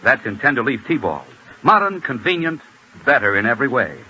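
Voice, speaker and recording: A male announcer delivers a polished 1940s radio ad in a bright, forceful voice. His intonation is lively and emphatic, over a dull old recording with faint noise. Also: medium pitch, brisk. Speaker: announcer reading an ad. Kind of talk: 1940s radio commercial read. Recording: dull, slightly noisy 1940s radio broadcast.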